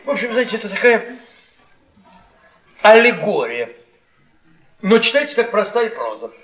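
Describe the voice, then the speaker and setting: High-voiced, mocking middle-aged husband. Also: male voice, big pitch swings, normal speed, a bit bright. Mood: sardonic. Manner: theatrical, sardonic, taunting. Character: bitter, mocking middle-aged husband, cruel witty storyteller. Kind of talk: Russian stage-style radio drama acting. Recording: echoey theatre, distant mic, audience noise.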